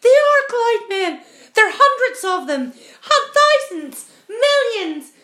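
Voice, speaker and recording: Lady doing excited storybook bugs calling out, really high voice, roomy echo. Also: slow pace, heavily acted. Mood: horrified. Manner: exclaiming loudly.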